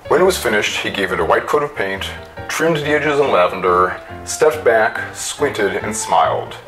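A man giving a theatrical story reading with deliberate emphasis. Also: lowish voice, lively intonation, music in the background, low-quality recording. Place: small indoor room with bookshelves.

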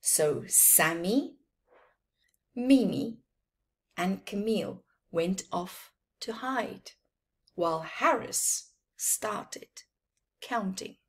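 Slow-paced woman reading a storybook. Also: mid-range voice, animated. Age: middle-aged. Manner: deliberate, dramatic phrasing with emphasised words. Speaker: storyteller reading picture books for children. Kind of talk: expressive children's storybook read-aloud. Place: small plain room indoors.

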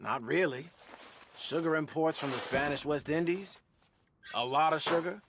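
A man's voice: fairly high pitch, moderate pace, background noise, lightly acted. An actor playing an eager, bristling young male outlaw in a video game. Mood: unimpressed.

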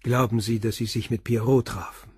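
Radio crime drama: a terse, persistent inspector, husky voice. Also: male voice, mid-range voice, fairly even intonation, normal speed, no echo, clean studio sound. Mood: probing. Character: calm probing male police inspector. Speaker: police inspector. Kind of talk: radio play acting.

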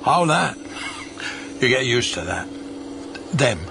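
An actor playing a jovial, roguish pub-drinking ghost with old-fashioned, breezy speech in an audio drama. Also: male voice, mid-range voice, big pitch swings, slow pace, gravelly, noisy background, exaggerated voice. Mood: breezy, evasive.

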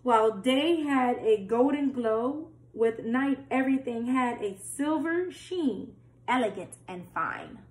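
Young female children's storytime reader. Very unhurried, explains as she goes, roomy home recording. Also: a little high, expressive. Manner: simple explanatory asides, questions to young listeners. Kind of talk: reading a picture book aloud, with asides to children. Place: small plain-walled room indoors.

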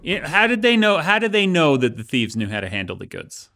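A man's voice: a little high, sing-song, a little fast, pushed and bright, a little hiss or hum. A man in his forties co-hosting a podcast, asking wry, skeptical questions in casual chat. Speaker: podcast co-host. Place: small studio set indoors.

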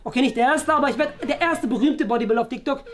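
Man doing a high-pitched, boastful muscle-head pupil. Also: very fast, fairly bright tone, faint music behind. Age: young adult. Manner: boastful, exaggerated. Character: cocky, dim young male bodybuilder pupil. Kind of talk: exaggerated web comedy sketch acting.

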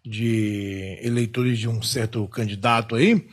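A man from Salvador, chatting on a podcast about films and ranting in a lively, slangy, profane way. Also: lowish voice, slow, breathy edge. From Salvador, Bahia, Brazil. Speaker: film critic, podcast panelist. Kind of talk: casual podcast chat.

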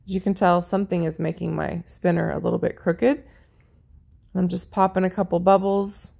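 A woman narrating her paint pouring video in a chatty, friendly way, her voice a little raspy. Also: on the low side, flat delivery, normal speed, warm tone. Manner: chatty, friendly, lists colors as she works. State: slightly raspy voice. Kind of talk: casual how-to narration over craft footage.

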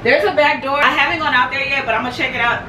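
A young woman giving a casual house tour vlog, chatting in an animated, slangy, gushing way. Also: sits high, fast. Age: young adult. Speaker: vlogger. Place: indoors, rooms of a house. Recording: echoey rooms with clear background noise.

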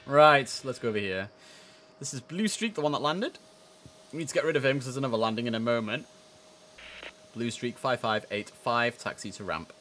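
A man's voice: medium pitch, animated, moderate pace, pauses often, fairly bright tone, a little hiss or hum. A man in his thirties giving casual live game commentary, chatty, switching between asides and formal controller calls. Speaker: streamer playing an air traffic controller. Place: indoor room, live stream.

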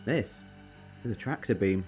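An eccentric time traveller acted by a woman in a sci-fi audio drama. Her voice is low and swings widely as she gets exasperated and teasing, with music behind her. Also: animated, unhurried. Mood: brisk, puzzled. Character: brisk, eccentric, exasperated time-travelling alien adventurer, female.

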